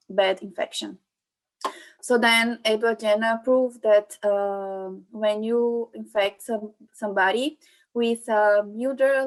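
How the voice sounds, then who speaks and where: A young woman from Brazil with a Brazilian Portuguese accent, giving an informal science talk online and pausing often with fillers and restarts. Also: medium pitch, poor audio. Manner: hesitant, many fillers and restarts. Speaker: guest speaker, PhD student. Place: home room, online event. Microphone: computer mic on video call.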